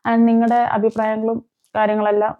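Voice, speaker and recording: A woman's voice: a little high, completely flat delivery, a little fast, narrow, tinny audio. A woman giving emphatic, opinionated commentary on crime as a vlog host, repeating phrases and posing rhetorical questions. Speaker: vlogger, host.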